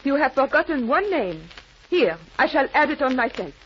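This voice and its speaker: An actress playing a dignified, defiant schoolteacher in a 1940s radio drama, speaking in a measured, formal, earnest way. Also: female voice, high-pitched, sing-song, fairly bright tone. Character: dignified, defiant woman schoolteacher in occupied Europe. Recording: muffled, noisy old radio recording.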